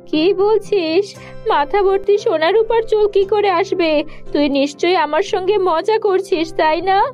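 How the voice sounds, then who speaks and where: A woman voicing an excitable, distraught aunt in a cartoon, exclaiming and wailing. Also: very high-pitched, a little slow, highs cut off, faint music behind, voice pushed up. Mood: astonished.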